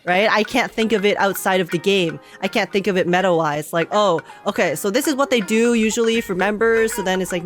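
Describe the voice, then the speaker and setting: A woman giving casual, chatty, rambling reaction commentary as she streams a game. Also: mid-range voice. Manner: chatty, rambling, animated asides. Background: faint game music.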